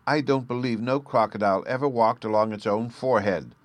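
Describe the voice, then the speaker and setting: A man doing a gentle little fairy girl. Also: fairly low pitch, lively intonation, normal speed, dry recording, pitched above the performer's own voice, close to an everyday voice. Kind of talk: audiobook character voice.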